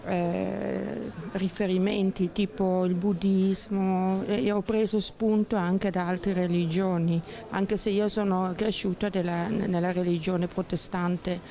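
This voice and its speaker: A woman's voice: medium pitch, creaky, mellow. A middle-aged woman from Switzerland answering a street interview at night, hesitant and searching for her words, into a handheld mic. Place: outdoor town square at night. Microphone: handheld mic held by interviewer. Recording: outdoor street with crowd behind.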